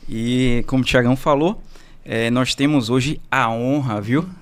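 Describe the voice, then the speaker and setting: A man's voice: mid-range voice, a little slow, clean tone. A man co-hosting a podcast, introducing the guest in a playful, joking way with local slang. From Bahia, Brazil. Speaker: podcast co-host.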